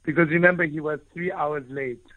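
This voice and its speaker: A man's voice: on the high side, steady tone, brisk, no room sound. A man from South Africa whose first language is Afrikaans, an author telling his own story earnestly, often restarting his phrases as he searches for words. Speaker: author and guest. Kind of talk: reflective personal storytelling. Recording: tinny phone-like line.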